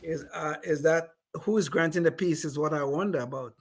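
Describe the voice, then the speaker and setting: A man's voice: a little high, fairly quick, slightly rough. An older man, a retired engineer on a podcast panel, asking questions and searching for his words. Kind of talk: casual panel chat.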